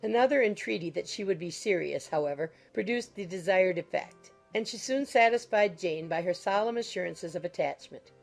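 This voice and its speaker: Woman reading a classic novel audiobook, lots of fry, faint music behind her. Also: fairly low pitch, moderate pace, hardly any pauses. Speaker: narrator. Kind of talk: scripted literary audiobook narration.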